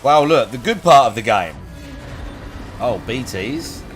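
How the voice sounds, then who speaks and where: A young man talks over his gameplay in a chatty, sarcastic way, swearing freely. His voice sits at an ordinary middle pitch. Faint music runs in the background. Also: expressive, measured pace. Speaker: gaming video host. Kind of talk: casual let's play commentary.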